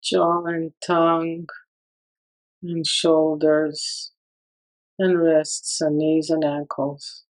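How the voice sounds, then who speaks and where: Slow, even-toned woman guiding meditation. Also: low-pitched, poor audio. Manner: calm, soothing, with long pauses. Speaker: meditation guide. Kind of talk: guided meditation.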